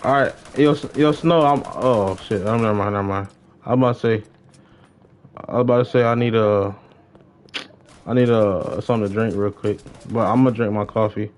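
A young adult man chatting casually as he streams a game, slangy and excitable, often repeating his phrases. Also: fairly low pitch, normal speed. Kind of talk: casual livestream gaming chat.

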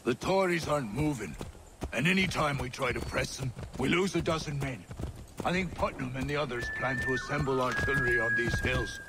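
An actor playing a rough, wary colonial soldier, talking in a blunt, colloquial manner. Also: male voice, mid-range voice, brisk, over music, lightly acted. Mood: grimly confident. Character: rough, wary colonial soldier, male, plain-spoken guide. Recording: loud outdoor noise and horse hooves.

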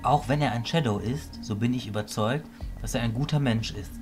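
A man playing an earnest wizard teacher in an amateur fantasy audio drama, speaking in a measured, sincere way. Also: medium pitch, faint music behind, lightly acted. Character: earnest wizard teacher, loyal to his pupil.

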